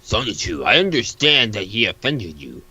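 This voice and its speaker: A man's voice: lowish voice, sing-song, moderate pace, crowd noise, pitched below the performer's own voice. A man voicing a comic hero's forgiving, preachy companion in a read-aloud. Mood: gently admonishing.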